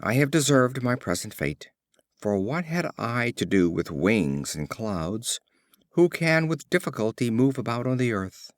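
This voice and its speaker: Casual: guy reading as a lady tortoise, bit higher and slow, wistful, dry sound. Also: sing-song, no echo. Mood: repentant. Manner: pleading, then repentant. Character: vain, doomed female tortoise.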